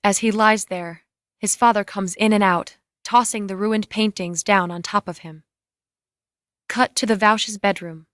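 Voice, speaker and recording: A woman's voice: mid-range voice, fairly even intonation, measured pace, a bit bright, no room sound. Dry-sounding woman narrating scene directions. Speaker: narrator reading stage directions. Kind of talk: scripted screenplay-style narration.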